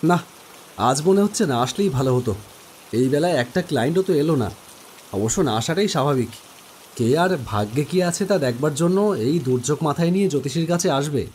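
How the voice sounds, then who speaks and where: A man's voice: a little high, animated, fairly quick, a little mellow, a little hiss or hum. A man giving dramatic first-person narration of a horror story. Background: music.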